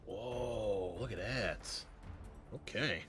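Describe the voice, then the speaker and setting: A man's voice: lowish voice, very slow, fairly bright tone. Man running a tabletop game over music. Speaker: game master. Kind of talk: running a tabletop roleplaying game online, improvised narration. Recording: background music under the voice.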